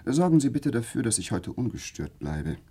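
Overwrought young lord, wildly varied pitch. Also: male voice, very animated, normal speed, warm tone, slightly rough, no echo. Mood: composed, lordly. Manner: florid, theatrical, impassioned. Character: overwrought, lovestruck young English aristocrat, earnest and vain. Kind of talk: German radio play acting.

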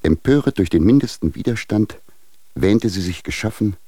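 An actor in a German radio drama playing a stern, sanctimonious guardian, speaking in a pompous, formal, moralizing way with a gravelly bass voice. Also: male voice, expressive, normal speed, dark tone, no echo. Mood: stern condemnation. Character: stern, sanctimonious guardian denouncing his wayward ward.

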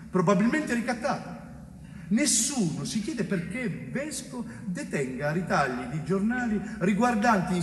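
A distant, reverberant stage recording carries a bitter police chief speaking from death. The man delivers a lucid monologue with wide swings of pitch and deliberate pauses, phrases often sinking at the end. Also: fairly bright tone, heavily acted. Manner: deliberate, dramatic pauses, phrase endings dropping low. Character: dead police chief, bitter, lucid, recounting his thwarted investigation. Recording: roomy stage recording, distant mic, faint noise.

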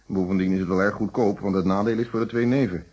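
An actor playing a calm, principled middle-aged police inspector in a Dutch radio detective play, speaking in formal, articulate explanations. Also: male voice, lowish voice, quick pace, husky. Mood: reflective. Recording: dull, muffled old radio recording.

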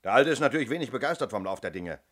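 An actor playing a senior police detective in a 1970s German radio play, curt, sardonic and commanding. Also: male voice, medium pitch, animated, rapid-fire, a bit bright. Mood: brusque, dry. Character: brusque, dry senior police detective, impatient boss.

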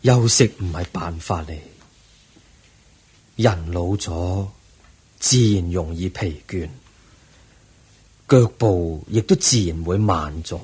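An actor playing an aged, serene Buddha in a Cantonese radio drama, with a Hong Kong accent and a solemn, measured, husky delivery. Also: male voice, low-pitched, a little mellow, heavily acted. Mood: weary, accepting. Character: aged, serene Buddha, eighty, weary yet calm teacher.

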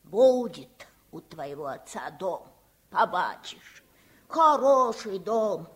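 An actress playing a blunt, grumbling old housekeeper with folksy, sardonic speech in a Soviet radio drama. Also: female voice, mid-range voice, sing-song, takes it slowly.

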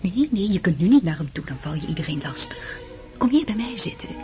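A woman acting a poised, scheming society countess in a Dutch radio drama, smooth, sociable and commanding. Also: mid-range voice, big pitch swings, brisk, a little mellow, lightly acted. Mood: sly, scolding. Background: music.

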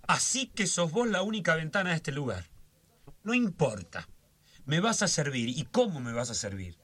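Radio drama: histrionic, order-obsessed nervous man, highish forceful voice, fretting aloud to himself. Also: big pitch swings, normal speed, breathy edge, no room sound, full character voice. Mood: anxious resolve. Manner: fretful, histrionic, muttering and exclaiming.

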